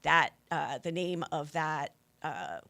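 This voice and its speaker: A woman speaking as an interview guest and rally organizer, explaining things casually and haltingly as she searches for words. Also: low voice, gravelly, pushed and bright.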